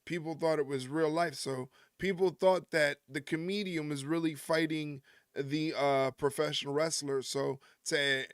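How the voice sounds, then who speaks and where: A man's voice: a little high, fairly even intonation, a little slow, clean tone, dry recording, studio quality. A man hosting a wrestling live stream, rambling and joking with his chat and often chuckling. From United States.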